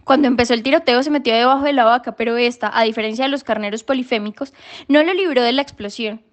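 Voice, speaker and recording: A woman plays a precocious daughter in a radio play, with a very high voice. She reports her findings solemnly, in formal, pedantic phrasing, like an earnest little detective. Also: quick pace, natural voice. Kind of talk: literary radio drama monologue.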